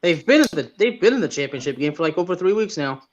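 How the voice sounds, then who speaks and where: Male sports co-host, fairly high voice, casual chat, muffled lo-fi mic. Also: big pitch swings, fast. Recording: dull, lo-fi microphone sound.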